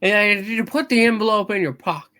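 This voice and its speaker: A woman's voice: mid-range voice, fairly quick, a bit bright, lightly acted. A woman voicing an earnest, harried young businessman, anxious and determined, in a hammed-up radio play. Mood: desperate.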